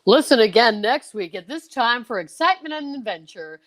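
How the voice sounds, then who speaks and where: A woman's voice: fairly high pitch, animated, normal speed, fairly bright tone. A woman playing a formal, theatrical old-time radio announcer reading the closing credits.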